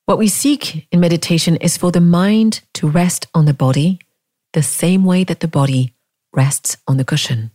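A woman leading a guided meditation, speaking in gentle, inviting questions with long pauses between phrases. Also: fairly low pitch, dry recording, clean studio sound. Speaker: meditation teacher.

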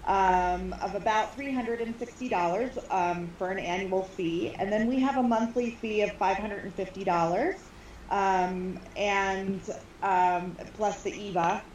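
A woman's voice: medium pitch, measured pace, a little mellow, muffled recording, slight background noise. A woman guest answering interview questions hesitantly, with many fillers and restarts, recorded from a distance in an echoey room. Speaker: school co-founder guest. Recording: roomy echo, far from mic.

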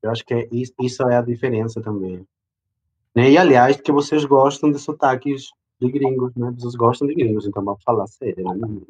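A man's voice: mid-range voice, darkish tone, breathy edge, highs cut off. A young man from Peru with a Peruvian Spanish accent, talking casually in an interview about his own life, full of fillers. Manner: slow, unhurried, chatty with many fillers. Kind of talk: casual personal storytelling.

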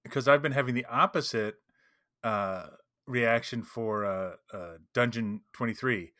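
A man's voice: mid-range voice, a little slow, pushed and bright, no echo, studio quality. A man co-hosting a podcast, telling small anecdotes in casual conversation. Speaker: podcast co-host.

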